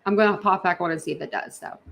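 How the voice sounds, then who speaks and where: A woman's voice: lowish voice, rapid-fire, vocal fry, fairly bright tone, a little hiss or hum. A woman hosting a casual livestream, reacting to videos in a rambling way, repeating herself and talking straight to her viewers. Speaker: livestream host.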